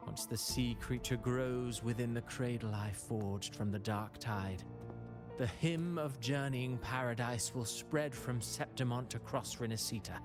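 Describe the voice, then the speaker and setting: A man playing a smug, coldly grandiose villain playwright in a video game, speaking in a pompous, poetic, deliberate way. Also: medium pitch, natural voice. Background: loud game background music.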